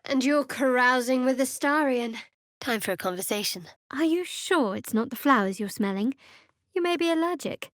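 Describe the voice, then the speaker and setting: An actress playing a guarded, secretive young cleric of a dark goddess in a video game, with a measured, dry delivery. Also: female voice, high voice, lively intonation, no echo. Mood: wry, teasing.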